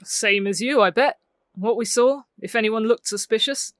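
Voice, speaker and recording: Actress as a blunt, higher-voiced teen. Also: female voice, normal speed, no room sound, studio quality, lightly acted. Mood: sardonic. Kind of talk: audio drama acting.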